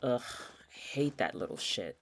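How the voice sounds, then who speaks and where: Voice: mid-range voice, lively intonation, a little slow, vocal fry, slight background noise. An actor playing a cynical, blunt, sweary young college student in a naturalistic audio drama. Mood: complaining, blunt. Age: young adult. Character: cynical, sardonic young community college student in a strange town.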